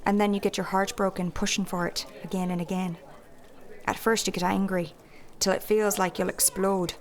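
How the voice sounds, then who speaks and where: A woman's voice: medium pitch, little pitch movement, moderate pace, lightly acted. A woman playing a shrewd, candid innkeeper in a fantasy audio drama, with a folksy, thoughtful way of talking. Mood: reflective, sombre. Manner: plain-spoken, folksy, thoughtful.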